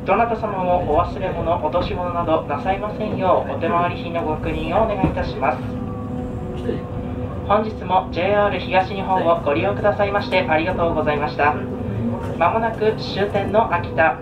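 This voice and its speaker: A man's voice: fairly quick, roomy sound. A man making polite, formulaic announcements as a train conductor over the onboard speakers. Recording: muffled distant train PA, loud rumble. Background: loud train noise.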